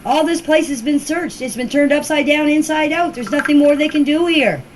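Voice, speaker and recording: A woman's voice: sits high, normal speed, noisy background. An older woman answering questions informally in a plain-spoken, insistent, repetitive way, recorded as an amateur voice clip. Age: older, grandmother. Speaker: relative speaking out about a missing children case.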